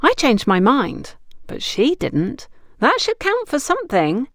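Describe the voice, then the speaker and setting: A woman's voice: high voice, huge pitch swings, measured pace, dry recording, pitched above the performer's own voice, full character voice. A woman narrator acts out a needy, troubled young woman from an audiobook drama. Her speech is emphatic and dramatic, rising and swooping widely in a dry, close recording. She comes across as impulsive and exposed. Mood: playful. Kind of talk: audiobook character dialogue.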